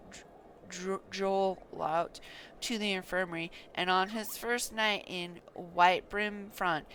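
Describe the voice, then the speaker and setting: A woman's voice: fairly low pitch, a bit bright, slight background noise. A woman voicing an anguished knight in a fantasy game, confessing a guilty secret earnestly and solemnly. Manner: earnest, solemn, halting over names. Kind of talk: dramatic reading of fantasy game dialogue.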